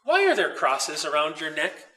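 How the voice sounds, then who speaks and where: A man's voice: fairly high pitch, wildly swooping pitch, moderate pace, sharp tone, far from the mic, exaggerated voice. A man voicing a curious, easily startled young boy in a children's story, asking things with open wonder. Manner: wondering, exclamatory.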